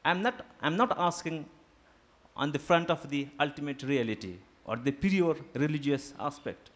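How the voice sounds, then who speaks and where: A fairly high-pitched man speaks English as an Urdu native, lecturing to a seminar. He talks in a deliberate, academic way and pauses with fillers as he builds his points. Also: expressive, fairly bright tone. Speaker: guest lecturer. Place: seminar hall.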